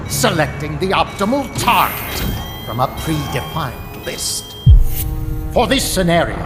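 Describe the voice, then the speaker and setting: An actor playing an arrogant, fanatical middle-aged American intelligence chief in a video game, pompous and theatrical. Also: male voice, sits high. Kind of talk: dramatic video game acting. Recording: echoey hall, loud music behind.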